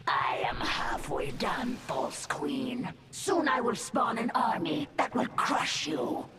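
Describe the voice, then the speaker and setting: A fierce alien broodmother from a video game, haughty and menacing. She taunts slowly and deliberately, her pitch sweeping widely over backing music. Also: very animated, whispered, raised voice, heavily acted. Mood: taunting. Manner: taunting, haughty, theatrical declarations. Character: fierce defiant female alien insectoid broodmother, arrogant and menacing. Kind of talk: video game villain acting.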